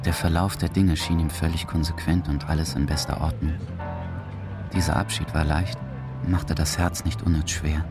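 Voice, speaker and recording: Casting: male narrator, very low voice, dramatic literary storytelling over music. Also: level tone, moderate pace.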